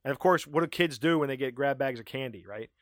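A man from Maryland co-hosting a casual podcast, ranting in an animated way with frequent laughs, his voice starting to go. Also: medium pitch, quick pace, dry recording, clean studio sound. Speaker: podcast co-host.

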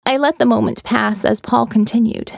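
A woman's voice: on the high side, fairly even intonation, gravelly, no echo, studio quality. Woman narrating an intimate audio novel. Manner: intimate, measured storytelling.

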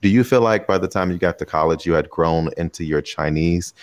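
A man's voice: low voice, fairly quick, no echo, clean studio sound. A man hosting a podcast interview, asking long, rambling questions full of "you know" in a casual chat. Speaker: podcast host. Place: lives in Taiwan.

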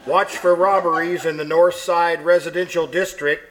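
A man's voice: fairly high pitch, steady tone, moderate pace, natural voice. Formal police dispatcher, acted by a man, noisy recording. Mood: official, matter-of-fact. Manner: formal, announcer-like. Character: official police radio dispatcher reading bulletins. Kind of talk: 1940s radio comedy acting, police bulletin. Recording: noisy live radio-play recording.

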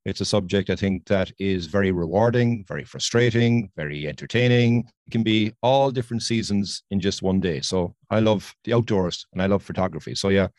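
A man's voice: fairly low pitch, brisk, few pauses, a little mellow, no room sound, studio quality. A middle-aged Irish man from Ireland, a guest on a podcast interview, talkative and enthusiastic over a video call. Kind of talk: conversational interview.

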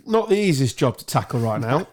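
A man's voice: mid-range voice, very animated, a little fast, darkish tone. A man hosting a casual sports podcast with joking, teasing banter. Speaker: podcast host.

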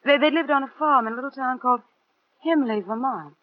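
An actress playing a gentle young widow who talks hesitantly in a 1940s radio drama. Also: female voice, sits high, fairly quick, a little hiss or hum, close to an everyday voice. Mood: wistful. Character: gentle young widow recalling her late husband. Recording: muffled 1940s radio broadcast.

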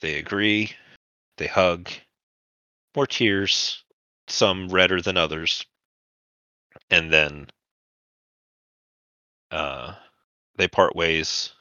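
A man narrating a tabletop roleplaying session as game master, in a halting, informal storytelling manner. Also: sits low, fairly even intonation, pushed and bright, no echo, highs cut off.

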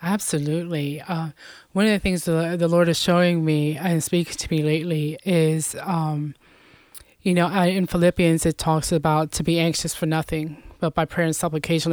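Woman from Puerto Rico, interview guest, chatty, flat delivery, smooth tone. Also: sits low, moderate pace, dry recording. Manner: chatty, many fillers. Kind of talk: casual faith interview.

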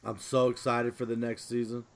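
Male podcast host, mid-pitched voice, informal sweary chat between friends. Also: completely flat delivery, normal speed, vocal fry.

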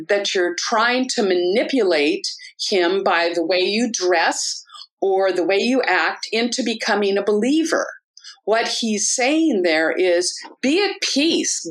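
A woman's voice: mid-range voice, low-quality recording. A woman in a casual talk-show discussion, explaining a Bible passage in an earnest, teaching way. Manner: earnest, teaching tone with frequent restarts.

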